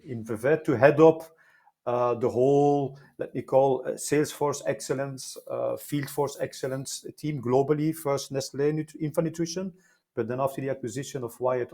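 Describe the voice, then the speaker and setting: A middle-aged Belgian man speaks as a guest in an interview, with a Flemish accent that reflects his native Dutch. His delivery is conversational but halting. He repeats words as he goes and leans on them for emphasis. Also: medium pitch, a little mellow. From Belgium, Flemish-speaking side. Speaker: guest, life sciences consultant.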